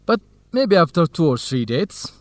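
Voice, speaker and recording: Playful easygoing guy, lively higher voice. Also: moderate pace, dry recording. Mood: joking. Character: Helen's joking, easygoing male companion puzzled by America. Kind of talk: English-learning radio drama acting.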